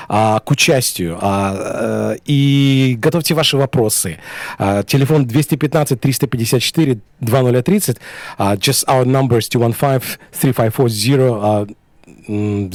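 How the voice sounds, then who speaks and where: A man's voice: medium pitch, moderate pace, darkish tone, no echo. A man hosting a radio interview in a studio, talking hesitantly with many fillers. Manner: hesitant, many fillers, switching between two languages. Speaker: radio host.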